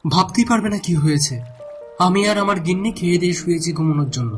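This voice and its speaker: A man's voice: sits high, lively intonation, fast, faint music behind. A man voicing a worried, bewildered village fisherman in a cartoon, with a hesitant manner. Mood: troubled. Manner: hesitant, repeating words.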